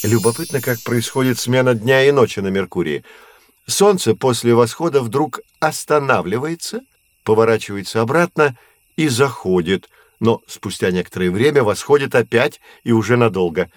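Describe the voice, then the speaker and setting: An actor playing a patient, knowledgeable astronomy professor who explains things in a measured, didactic way in an educational audio drama. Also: male voice, mid-range voice, no echo, natural voice. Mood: explaining, engaged. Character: male astronomy professor, patient, knowledgeable teacher of curious children.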